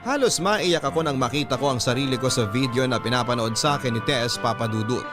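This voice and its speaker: A man's voice: mid-range voice, fast. A man with a Filipino accent reading a first-person story as a radio storyteller, in dramatic scripted narration. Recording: background music under the voice.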